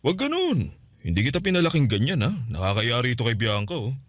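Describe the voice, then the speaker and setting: A man's voice: mid-range voice, big pitch swings, brisk, dry recording, lightly acted. Actor as a boastful Filipino father, swooping delivery. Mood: wounded, chiding. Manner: wheedling, self-righteous, scolding. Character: boastful, manipulative middle-aged father. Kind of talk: Filipino radio drama acting.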